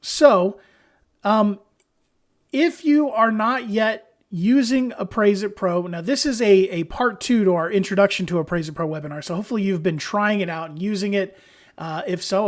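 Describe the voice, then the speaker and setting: Man, hoarse voice, upbeat webinar host, casual live tutorial, talking from home. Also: sits high. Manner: chatty and upbeat, talks straight to viewers. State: hoarse from allergies. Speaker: software trainer hosting a webinar.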